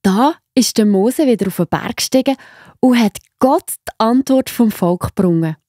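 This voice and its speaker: A woman with a Swiss accent narrating Bible stories for children in an expressive, enthusiastic, simple way. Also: fairly high pitch, normal speed, no room sound, studio quality. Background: music behind the voice.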